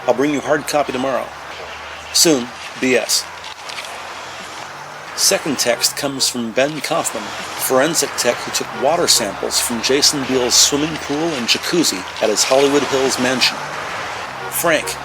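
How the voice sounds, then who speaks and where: A man's voice: medium pitch, noisy background. A man giving scripted, present-tense narration for a crime drama.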